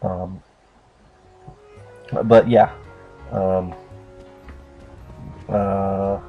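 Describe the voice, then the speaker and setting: A man's voice: low-pitched, flat delivery, extremely slow, phone-quality sound. A man giving casual, unscripted video game commentary, rambling and hesitant as if unsure of his facts. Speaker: let's play commentator. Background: game music and cutscene dialogue.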